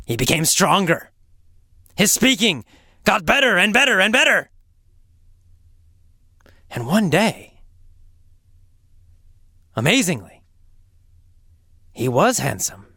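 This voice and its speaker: A middle-aged man who teaches English, telling a simple story to learners slowly and with lots of repetition. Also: high voice, creaky, dry recording. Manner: slow, animated, with heavy repetition for learners.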